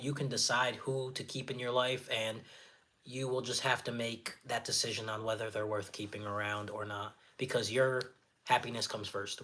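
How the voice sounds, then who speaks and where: A man with a naturally raspy, slightly nasal voice, hosting a casual livestream, reading viewer comments aloud and answering them in a friendly, encouraging way, sniffling often. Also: medium pitch, fairly bright tone. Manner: friendly, encouraging, chatty with filler words. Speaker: livestream host.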